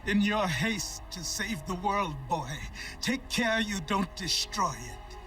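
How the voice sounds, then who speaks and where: Casting: jaded, scolding old teacher, raised high voice, game cutscene, slightly distant playback. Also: male voice, animated, takes it slowly, sharp tone, soft background music, heavily acted. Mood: bitter, scolding. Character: stern, bitter old male mentor, scolding and jaded. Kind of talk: dramatic video game voice acting.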